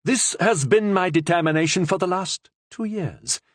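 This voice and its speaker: A proud king speaks curtly and firmly, asserting command in measured, formal words, as a male narrator acts him out. The delivery rises and falls a lot and has a husky grain, close and dry. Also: medium pitch, very animated, no room sound, pitched above the performer's own voice, exaggerated voice. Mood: stern. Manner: curt, authoritative. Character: stern, proud young king, curt and commanding.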